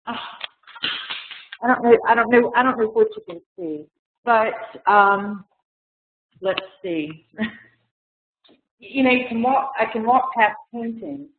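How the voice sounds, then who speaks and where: A woman's voice: on the high side, slow pace, pauses often, slight background noise. Chatty woman on a tinny video call. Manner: chatty, rambling, many fillers and restarts. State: losing her voice, coughing. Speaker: art collector. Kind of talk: casual webinar talk. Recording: echoey room over tinny video call.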